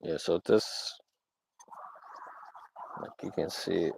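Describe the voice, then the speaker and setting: A man's voice: low voice, slow pace, gravelly, a little mellow, husky. A young man from Georgia in the US South, casually rambling on a livestream with lots of fillers and little laughs. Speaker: livestream host. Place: outdoors.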